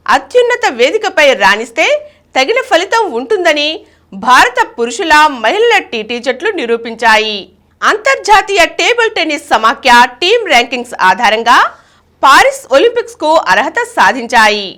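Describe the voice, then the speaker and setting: A woman's voice: high voice, very animated, moderate pace, bright, forceful tone. A woman reading scripted news items as a news reader.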